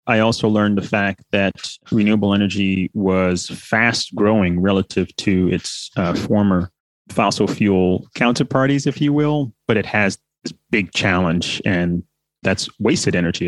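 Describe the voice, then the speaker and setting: A man being interviewed as a company founder, explaining in a measured way with some light fillers. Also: low voice, no room sound.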